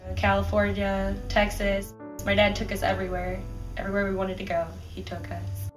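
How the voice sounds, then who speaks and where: A woman in her twenties, recalling her family in a soft, earnest documentary interview. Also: mid-range voice, monotone, normal speed. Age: 20s. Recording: roomy, distant mic with music.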